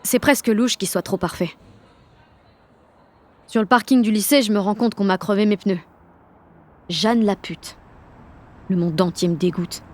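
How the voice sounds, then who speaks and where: A woman's voice: mid-range voice, a little slow, pauses often, darkish tone, lightly acted. A woman playing a rebellious, sardonic sixteen-year-old schoolgirl who tells her story in blunt, swearing slang in an audio drama. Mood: weary, bitter. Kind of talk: first-person audio drama narration in slangy speech.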